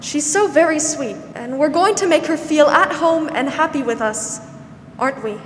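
A woman's voice: high voice, moderate pace, close to an everyday voice. A woman acting a kindly, patient older country spinster in a 1940s-style radio drama. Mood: soothing. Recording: roomy stage hall, faint noise.

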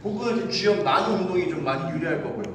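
A man in his thirties, a fitness coach, giving an animated exercise lesson while demonstrating moves in a large indoor gym, filmed from a distance. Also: high-pitched, very fast, background noise. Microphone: far camera. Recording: echoey gym from far off.

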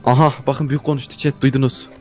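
A man's voice: mid-range voice, flat delivery, quick pace, a little mellow, soft background music. A man in casual co-op gameplay banter, joking and slangy, often repeating his words. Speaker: gaming video co-host.

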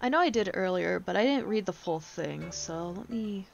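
A woman's voice: mid-range voice, animated, normal speed, creaky, muffled recording. A woman giving casual gameplay commentary, thinking aloud and muttering to herself, with the odd casual swear. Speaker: game streamer. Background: game music.

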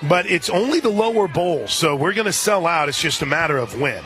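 A man doing live radio play-by-play of a softball game in a conversational way, with folksy asides. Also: on the high side, very animated, a little fast. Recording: crowd noise and music behind. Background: ballpark crowd and music.

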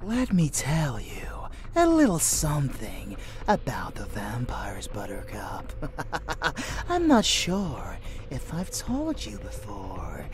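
Casting: unhinged male vampire lover for ASMR roleplay, played by a woman, very low, drawling, whispery, teasing menace. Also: exaggerated voice. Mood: dark, gloating.

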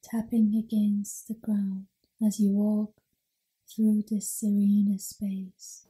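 Slow, very soft husky ASMR woman. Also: medium pitch, little pitch movement, low-quality recording. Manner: mostly whispered, soothing, unhurried delivery. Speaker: guided meditation host. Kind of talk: ASMR guided meditation.

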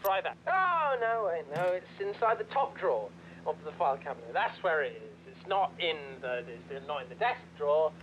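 A flustered, over-eager office manager speaks high, with big swings in pitch. He rambles and doubles back on himself like a comic game guide, with clear noise behind him. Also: lo-fi sound. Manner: rambling, halting, repeats himself excitedly. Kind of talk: improvised comic video game character.

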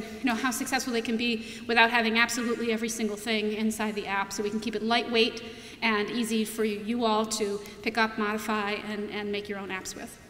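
A woman's voice: fairly high pitch, a bit bright. A young adult woman giving a conference keynote from a podium, talking in a casual way with many fillers and restarts. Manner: conversational, frequent fillers and restarts. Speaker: keynote speaker presenting product features. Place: large auditorium stage at a podium. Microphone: podium mic from a far camera. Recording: echoey auditorium with long reverb.

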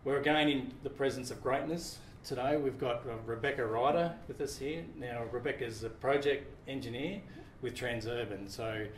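A middle-aged man from Australia hosting an interview, relaxed and informal, with many fillers and the odd "mate". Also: mid-range voice, moderate pace, hardly any pauses. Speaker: interview host. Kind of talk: casual interview. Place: office meeting room with large windows. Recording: roomy office with faint noise.

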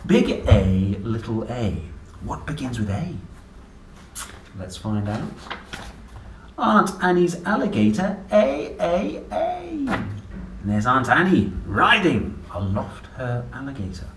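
A young man reads aloud to small children with a playful, sing-song delivery that swings very widely in pitch. He goes slowly, as if chatting directly to his listeners. The sound is roomy and a little distant from the mic. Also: sing-song. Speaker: children's book reader and presenter. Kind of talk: animated read-aloud of a children's alphabet book. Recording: roomy, distant mic with faint background noise.

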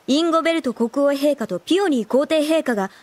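A woman's voice: high voice, fast, a little mellow, no echo, close to an everyday voice. An actress playing a serious, reproving young woman with composed, firm speech in an anime audio drama. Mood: stern.

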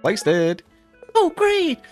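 A man's voice: very high voice, huge pitch swings, slow, soft background music. A man doing casual playthrough commentary, musing to himself in a dry, self-deprecating way. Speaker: gaming video creator.

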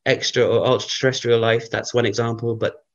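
Rambling man with a croaky morning voice. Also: mid-range voice, little pitch movement, brisk, dull audio. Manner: rambling, thinks aloud with many fillers. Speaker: guest researcher. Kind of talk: casual interview talk.

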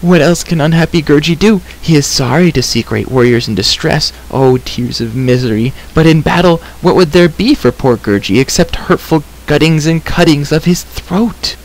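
A man voicing a small shaggy creature from a storybook, whimpering, grovelling and self-pitying in drawn-out wails. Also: a little high, lively intonation, pitched above the performer's own voice, poor audio. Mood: wailing, fawning. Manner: whimpering, wailing, drawn-out rhyming third-person speech. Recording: clear background hiss.

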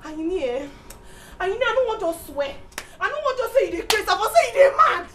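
Woman acting wronged Nigerian lover, very high voice, scolding, repetitive, Pidgin drama, distant noisy mic. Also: big pitch swings, fast, fairly bright tone, full character voice. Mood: furious, cursing. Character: betrayed young woman, furious, cursing her cheating lover. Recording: distant mic with faint noise.

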